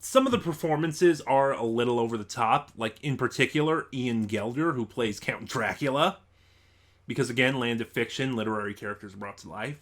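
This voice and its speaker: A man hosting a casual spoken review, talking in halting phrases with thoughtful pauses. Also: medium pitch, a bit bright. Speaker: reviewer, channel host.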